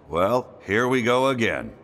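A man's voice: medium pitch, a bit bright, slight background noise. A man playing a heroic toy space ranger in a video game, stern and commanding with firm, no-nonsense statements. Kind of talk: animated video game dialogue.

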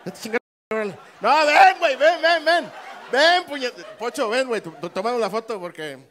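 Brash high-voiced Mexican comedian. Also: male voice, animated, moderate pace, a faint crowd. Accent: Mexican Spanish. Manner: brash, teasing, swearing, loud bursts of shouting. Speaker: stand-up comedian working the crowd. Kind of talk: crowd work stand-up. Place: small comedy club stage with live audience. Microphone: handheld mic.

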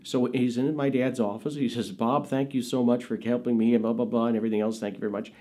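A middle-aged man telling family anecdotes in a seated studio interview, animated and laughing, often jumping into high quoted voices. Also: fast. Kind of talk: anecdotal storytelling. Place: indoors, studio with dark curtain backdrop.

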